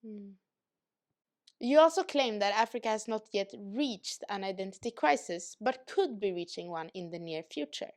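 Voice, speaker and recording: A woman host who listens quietly and then asks measured interview questions. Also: medium pitch, animated.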